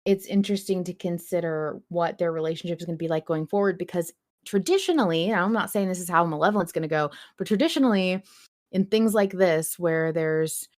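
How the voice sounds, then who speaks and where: A woman's voice: fairly low pitch, brisk, darkish tone. A woman hosting a fan podcast in casual discussion, talking informally with the odd swear word. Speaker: podcast host.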